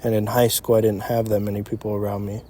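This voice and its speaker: Mellow low-voiced young man, crickets behind. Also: fast talker. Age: early twenties. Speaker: young entrepreneur. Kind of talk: unscripted casual conversation. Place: outdoors in an empty parking lot. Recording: steady outdoor insect noise. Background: crickets and night insects.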